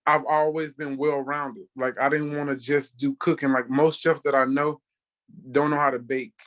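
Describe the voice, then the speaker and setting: A man's voice: medium pitch, steady tone, normal speed, a bit bright. A man in his thirties from Texas, a chef guesting on a podcast and telling personal stories casually. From Texas, United States. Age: 30s. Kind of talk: casual conversational storytelling.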